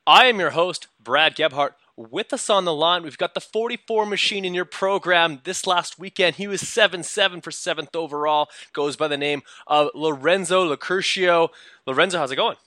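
Bright-voiced male podcast host. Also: a little high, normal speed, no echo, studio quality. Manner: rambling, many fillers and restarts. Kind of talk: casual interview.

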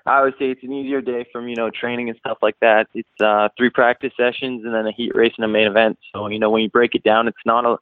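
A man's voice: medium pitch, fast talker, few pauses, dry recording. Canadian man on a tinny phone line. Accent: Canadian, slightly worn toward American. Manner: relaxed, many fillers like uh and you know. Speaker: guest, pro motocross racer. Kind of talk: phone interview.

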